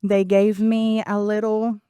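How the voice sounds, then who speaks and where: Venezuelan woman with a smooth voice. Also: medium pitch, little pitch movement, slow pace, dark tone, no room sound. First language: Spanish. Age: 40s. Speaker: podcast guest. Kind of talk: conversational interview.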